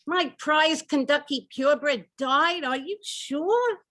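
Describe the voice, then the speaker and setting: A woman's voice: sits high, expressive, slow, sharp tone, full character voice. A woman playing an officious, easily appalled senior center director in an old-time radio comedy.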